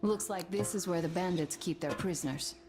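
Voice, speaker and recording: An actress playing a practical young woman scout in a video game, speaking in a calm, matter-of-fact way. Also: fairly low pitch, fairly quick, background noise, lightly acted. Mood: observant. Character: young woman scout in a post-apocalyptic band of survivors, practical.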